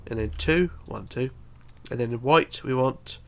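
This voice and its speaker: Congested man with a creaky voice. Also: mid-range voice, a little slow. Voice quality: congested, bunged-up nose. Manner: counts aloud methodically. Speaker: tutorial host. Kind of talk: casual step-by-step game building tutorial.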